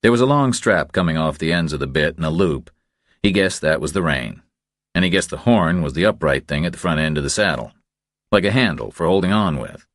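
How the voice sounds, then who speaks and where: A man's voice: sits low, expressive, brisk, no room sound, clean studio sound. A man reading a crime thriller audiobook as a scripted narration.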